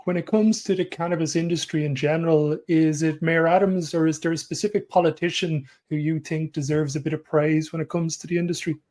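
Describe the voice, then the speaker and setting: A man's voice: a little high, fairly even intonation, fairly quick, few pauses, darkish tone. A man hosting a podcast interview, asking polite, formally worded questions. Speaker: podcast host.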